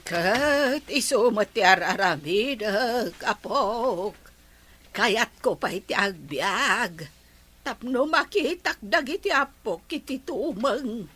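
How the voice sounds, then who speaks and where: Husky, very slow old grandmother. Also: a little high, animated, a bit bright, full character voice. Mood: matter-of-fact. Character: stubborn elderly grandmother clinging to long life. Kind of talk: radio drama acting.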